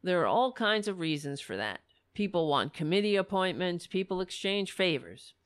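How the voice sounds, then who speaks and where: A woman hosting a political talk show, speaking with fiery, rallying conviction and frequent restarts, her voice going hoarse. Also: lowish voice, very animated, normal speed. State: losing her voice. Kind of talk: impassioned political commentary.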